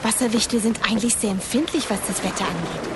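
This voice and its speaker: A woman's voice: on the high side, quick pace, very noisy, close to an everyday voice. A woman voicing a bossy water gnome crew member who gives urgent instructions.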